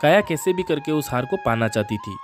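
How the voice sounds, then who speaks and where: A man's voice: fairly high pitch, sing-song, brisk. Man narrating a children's story over music.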